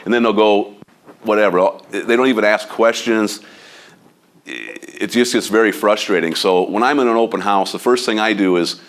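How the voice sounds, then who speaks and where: A man with a Midwestern American accent giving a casual training talk to real estate agents, loud, blunt and a bit overbearing. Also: fairly low pitch, normal speed. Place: office meeting room.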